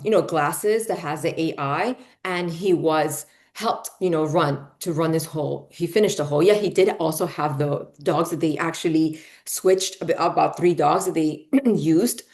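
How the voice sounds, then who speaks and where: Woman host, 40s, from Peru by way of Miami, lowish voice, casual rambling interviewer. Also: a little mellow. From Peru, raised in Miami. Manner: chatty, lots of fillers, frequent "right?" and "you know". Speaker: podcast host.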